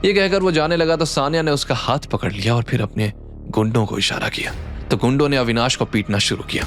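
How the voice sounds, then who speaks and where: A male narrator tells a serialized drama in a mid-pitched voice. His delivery is scripted, dramatic storytelling, with music playing behind him. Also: animated, fairly quick. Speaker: audio series narrator.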